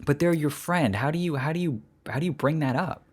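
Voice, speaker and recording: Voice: mid-range voice, a little fast, breathy edge. A podcast co-host chatting casually and tossing in dry, joking quips. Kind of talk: casual chat.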